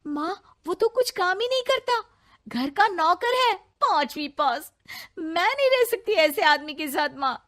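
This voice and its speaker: A woman voicing a proud, sharp-tongued young bride in a cartoon, shrill and indignant. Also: animated, measured pace, heavily acted. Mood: tearful.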